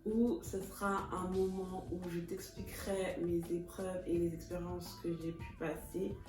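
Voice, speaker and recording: A woman's voice: lowish voice, level tone, measured pace, far from the mic. Chatty thirty-year-old woman vlogging in an echoey room. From Congo (Kinshasa). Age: 30s. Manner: warm, chatty, laughs often, mixes in English words. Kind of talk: casual self-introduction vlog. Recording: echoey room, voice a bit off-mic, music behind.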